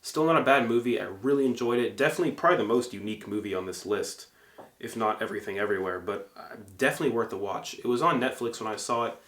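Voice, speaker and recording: A man's voice: medium pitch, fast, a bit bright. Young male reviewer from Egypt, loose and conversational, roomy echo on the voice. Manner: conversational, frequent fillers and self-corrections. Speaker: movie reviewer. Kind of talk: casual review monologue.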